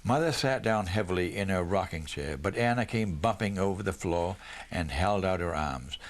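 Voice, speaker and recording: Male storybook reader, lower-pitched voice, narrating prose with occasional small stumbles. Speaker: audiobook reader.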